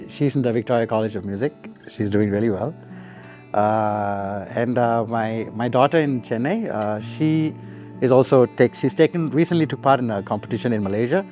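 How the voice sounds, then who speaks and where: A musician in his forties from Singapore, speaking. He gives relaxed, reflective interview answers and laughs often. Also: medium pitch. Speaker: musician guest.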